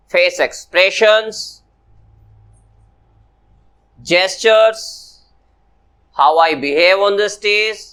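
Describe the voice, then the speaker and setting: 男性教师，印度英语口音，在教室里讲课，声音偏高. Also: male voice, high-pitched, a bit bright. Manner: slow, repetitive, often asks "Clear?". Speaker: personality development teacher. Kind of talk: classroom lecture to students.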